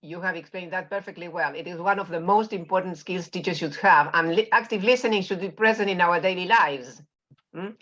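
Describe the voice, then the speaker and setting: A woman panelist in an online discussion, speaking fluently and in a measured way. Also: on the low side, a bit bright. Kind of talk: online round table discussion. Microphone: video call. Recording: dull lo-fi call audio.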